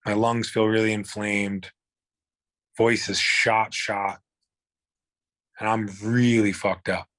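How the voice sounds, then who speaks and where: A man's voice: lowish voice, level tone, slow pace, long pauses, a bit bright, no echo, studio quality. A man, telling his own illness story in a blunt, profane, rambling way. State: hoarse. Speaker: guest. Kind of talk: candid personal storytelling.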